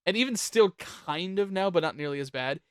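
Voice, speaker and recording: A talkative host runs a casual ranking chat with plenty of joking and swearing. The pitch swings around in an animated way, and the recording is dry and close. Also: a little fast, smooth, dry recording. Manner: jokey, profane, chatty. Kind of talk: casual chat ranking songs on a panel show.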